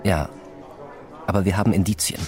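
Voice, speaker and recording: An actor playing a coaxing, matter-of-fact police officer in a German radio drama. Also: male voice, deep voice, steady tone, brisk, music in the background, natural voice. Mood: matter-of-fact persuasion. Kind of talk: German radio play acting.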